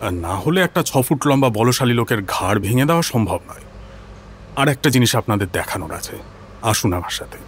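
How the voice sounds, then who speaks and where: A man's voice: medium pitch, sing-song, a little fast, a little hiss or hum, lightly acted. A man acting an assured forensic doctor in an audio drama, explaining things in a precise clinical way.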